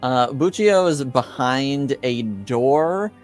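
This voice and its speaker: A man speaking as game master, improvising descriptions for a tabletop game in a hesitant, halting way. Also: medium pitch, expressive, a little mellow, soft background music.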